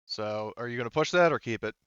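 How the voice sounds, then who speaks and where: Guy running a tabletop rpg, smooth voice, rambles thru rules, kinda muffled audio. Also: medium pitch, fast, no echo. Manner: rambling, talks in broken-off phrases with frequent 'like' and 'you know'. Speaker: game master. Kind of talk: explaining tabletop game rules and narrating combat.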